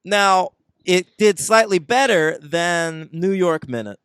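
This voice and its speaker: Podcast dude riffing on movies, kinda high for a guy, smooth dry voice, laughs a lot. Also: takes it slowly, fairly bright tone, no room sound. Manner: joking, chatty, laughs often. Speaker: podcast co-host. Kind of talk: casual film review chat.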